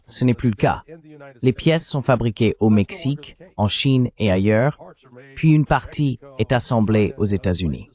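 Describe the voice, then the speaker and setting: A man with a fairly low voice delivers political commentary as a translated voice-over. He explains things in a measured way, point by point. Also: darkish tone. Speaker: panel commentator.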